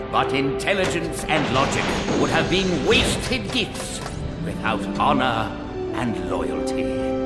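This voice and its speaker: A game's alien mentor leaving a last message in a vast temple, slow and lilting, music swelling. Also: male voice, mid-range voice. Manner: measured, solemn, gently wry. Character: wise, proud, kindly alien father. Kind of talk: scripted game cutscene monologue. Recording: long hall reverb, loud music behind.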